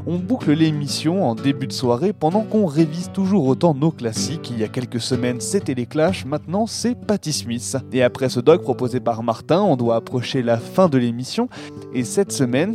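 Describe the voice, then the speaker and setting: Male radio host talking over clear music. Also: mid-range voice. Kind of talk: casual radio presenting.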